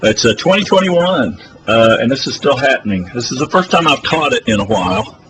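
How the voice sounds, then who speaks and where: A man's voice: mid-range voice, expressive, a little fast, a bit bright. Rambling man with a noisy phone mic. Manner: rambling, hesitant with many fillers. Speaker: public access TV host. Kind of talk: rambling live phone-in broadcast. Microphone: phone camera.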